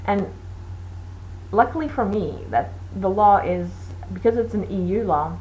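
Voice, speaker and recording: A woman's voice: mid-range voice, animated, measured pace, a little mellow, slight background noise, rough recording. A woman whose first language is Portuguese, hosting a knitting show in a casual, rambling chat with many fillers. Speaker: knitting podcast host.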